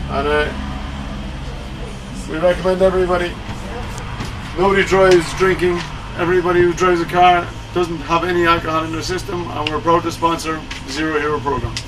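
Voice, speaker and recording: Upbeat sponsor man, loud delivery, distant mic, busy street behind. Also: high voice, noisy background. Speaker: sponsor guest. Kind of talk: promotional message.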